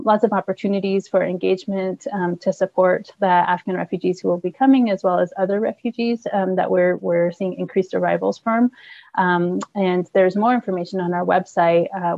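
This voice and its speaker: A woman from a refugee agency, answering interview questions slowly with many ums and restarts. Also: on the low side, creaky, darkish tone, no echo, muffled recording. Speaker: refugee resettlement staff member. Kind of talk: news interview.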